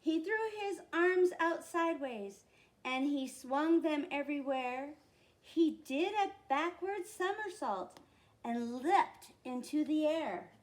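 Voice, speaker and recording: Female storytime reader. High voice, unhurried pace, dramatic phrasing for small children. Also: expressive. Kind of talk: expressive children's picture book read-aloud.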